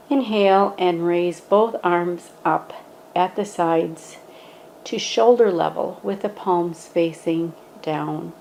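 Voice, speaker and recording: A woman gently guiding a standing yoga meditation, speaking in a calm, instructive way. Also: fairly low pitch, gravelly, slight background noise, poor audio.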